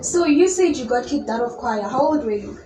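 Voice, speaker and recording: A woman's voice: high voice, lively intonation, fairly quick. Young woman interviewer, echoey room. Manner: curious, friendly questioning. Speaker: interviewer host. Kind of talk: casual interview questions. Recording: echoey room with background noise.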